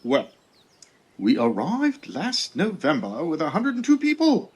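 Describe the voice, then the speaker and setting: An actor playing a blunt, deadpan male Pilgrim governor in a comedy sketch. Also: fairly high pitch, a little slow. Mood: amused, matter-of-fact. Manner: matter-of-fact, darkly deadpan.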